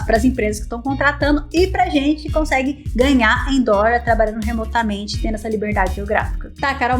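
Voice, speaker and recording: A Brazilian woman in her thirties, a software engineer with a Brazilian Portuguese accent, giving conversational career advice with frequent tag questions. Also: a little high. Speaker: software engineer and tech YouTuber. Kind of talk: explanatory career advice vlog. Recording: faint background music.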